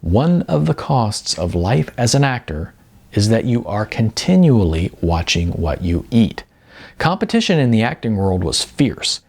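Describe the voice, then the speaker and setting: A man who is an author reading his own novel as audiobook narration, with expressive emphasis and drawn-out words. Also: medium pitch, creaky, breathy edge.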